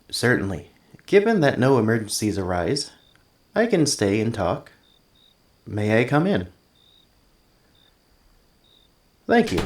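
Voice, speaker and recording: A man's voice: mid-range voice, echoey room. A man playing a polite, earnest android assistant in an ASMR roleplay, speaking formally and precisely through a robotic voice effect. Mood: politely curious. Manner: formal, precise, literal-minded phrasing. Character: polite, earnest male android tenant assistant, gentle and curious. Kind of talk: ASMR roleplay, scripted one-sided conversation.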